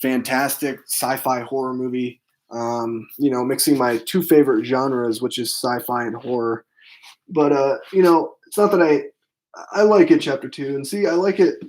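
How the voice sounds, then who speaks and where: Hoarse man rambling on a live stream. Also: medium pitch, steady tone, moderate pace, a little mellow, poor audio. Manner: rambling, many fillers, answers chat. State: hoarse, losing his voice. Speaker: film channel host. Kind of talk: casual live stream chat. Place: home room with bookcase.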